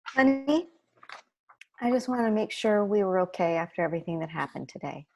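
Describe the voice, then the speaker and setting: A woman reading a gentle, caring mother in a sitcom script. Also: mid-range voice, expressive, a little fast. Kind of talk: script table read.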